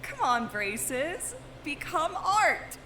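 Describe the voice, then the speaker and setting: A woman's voice: high voice, very animated, very slow, reverberant, noisy background, exaggerated voice. A woman voicing a brash, bossy young woman who swears constantly, in a fan audio drama.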